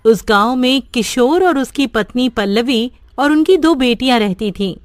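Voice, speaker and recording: A woman's voice: fairly high pitch, normal speed, dry recording, studio quality. Woman narrating a cartoon story. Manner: steady, simple storybook telling.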